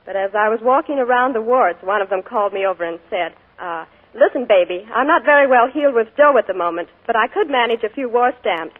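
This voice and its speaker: A woman's voice: fairly high pitch, lively intonation, fast talker, hardly any pauses. A young woman, a guest on a radio show, speaking in a cheerful, modest and earnest way. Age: young adult. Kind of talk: scripted radio interview. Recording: tinny 1940s radio broadcast.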